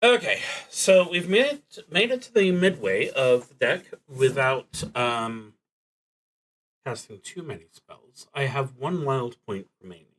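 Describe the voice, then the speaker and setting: A man with a mid-range voice talks himself through a solo roleplaying game. His delivery is very slow and halting, as he reasons aloud and often leaves a thought hanging before picking it up again. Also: mid-range voice, big pitch swings, fairly bright tone, rough recording. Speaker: solo tabletop game player and streamer. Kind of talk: improvised live commentary on a solo roleplaying game, thinking aloud.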